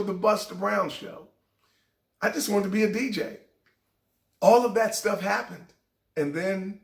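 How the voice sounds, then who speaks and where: A middle-aged man hosting a show, telling a heartfelt personal story in a raspy voice that sometimes cracks. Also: high voice, fairly bright tone, roomy sound. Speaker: show host. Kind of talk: inspirational personal talk.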